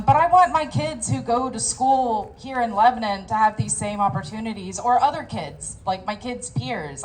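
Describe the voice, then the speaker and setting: A woman's voice: mid-range voice, slight background noise. A young woman, a small business owner, giving a nervous, earnest comment at a town hall with many fillers. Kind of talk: public comment at a rally.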